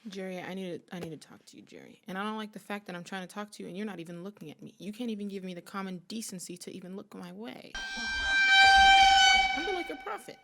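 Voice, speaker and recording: A woman's voice: medium pitch, expressive, fast talker, vocal fry, background noise. A woman giving playful reaction commentary to camera, mock-serious and theatrical, hammering phrases through repetition. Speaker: sports fan reaction video host.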